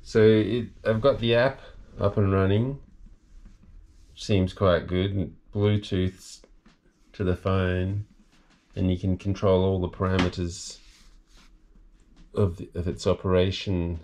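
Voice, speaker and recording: Deep-voiced older man. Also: level tone, a little mellow. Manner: unhurried, halting with restarts and fillers. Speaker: boat owner and video maker. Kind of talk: casual hands-on explainer. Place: cabin and engine room of a sailing catamaran.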